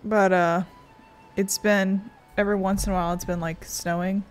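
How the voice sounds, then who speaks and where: A woman's voice: medium pitch, little pitch movement, moderate pace, soft background music. A woman streaming a story game, giving casual, rambling and playful commentary as she plays.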